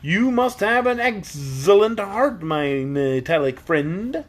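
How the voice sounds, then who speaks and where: A storybook reader voicing a pompous, long-winded insect gentleman who speaks slowly and grandly. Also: medium pitch, big pitch swings, pitched above the performer's own voice. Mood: polite. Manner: slow, drawn-out, grandly formal delivery.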